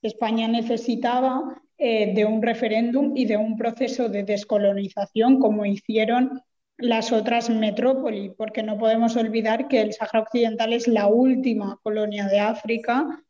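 A woman giving explanatory answers as a political interview guest, speaking in a measured, hesitant way with formal wording. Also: a little high, fairly even intonation, warm tone. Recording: reverberant hall, muffled sound.

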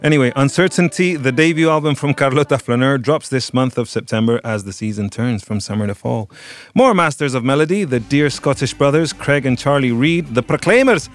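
A man presenting a radio music show, reading scripted links with wry, dry asides. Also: mid-range voice. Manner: wry, dryly joking asides.

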